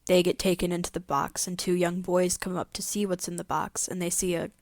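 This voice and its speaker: A woman's voice: fairly low pitch, flat delivery, fairly quick, dry recording. A woman co-hosting a podcast, talking casually as she discusses a story. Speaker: podcast co-host.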